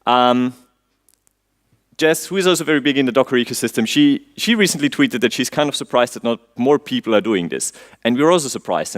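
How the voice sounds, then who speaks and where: A man from Austria with an Austrian accent, a native German speaker giving a technical conference talk in English. He quizzes the audience, drops in dry jokes and fills pauses with frequent "um"s. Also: mid-range voice. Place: conference stage before an audience.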